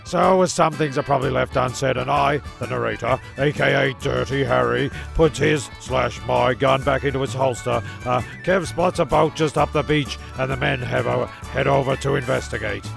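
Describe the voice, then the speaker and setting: A very gravelly, creaky male voice acting out a flustered storyteller. He stammers, rambles and swears his way through comedy audio drama narration, easily exasperated. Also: medium pitch, moderate pace, hardly any pauses, over music. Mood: narrating. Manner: stammering, repeating himself, sweary.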